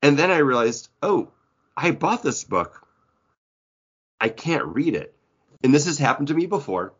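A man's voice: a little high, big pitch swings, measured pace, fairly bright tone, breathy edge. A man co-hosting a podcast, chatting casually in a rambling, jokey way. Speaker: podcast co-host. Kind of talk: casual comic book podcast chat. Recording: dull, lo-fi audio.

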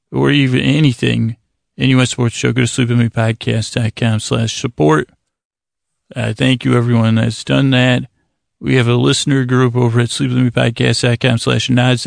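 Male bedtime podcast host: very creaky voice, dry close sound, wandering and self-interrupting delivery. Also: medium pitch, dry recording. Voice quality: gravelly, creaky. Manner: meandering, halting, self-interrupting. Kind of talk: rambling bedtime podcast intro.

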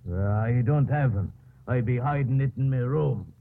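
An actor playing a slow, humble village man with a rural English dialect and halting speech, in 1950s radio drama style. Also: mid-range voice, creaky, natural voice. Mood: simple, hesitant. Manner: halting, repetitive. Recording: tinny old radio broadcast.

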